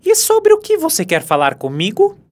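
A woman's voice: high-pitched, huge pitch swings, moderate pace, darkish tone, a little hiss or hum. A woman voicing an arrogant, mocking hare in a children's cartoon, with a smug, scoffing manner. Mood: curious. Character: arrogant, mocking speedy hare, boastful show-off.

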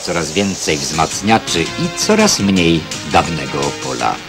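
A man's voice: low-pitched, very animated, a little slow. A man reading scripted newsreel narration with a wry touch. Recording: old film newsreel soundtrack with music. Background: music.

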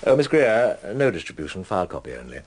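An actor playing a stiff senior government official in a radio drama, dictating formally with hesitant, measured phrasing. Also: male voice, low-pitched, very animated, a little hiss or hum.

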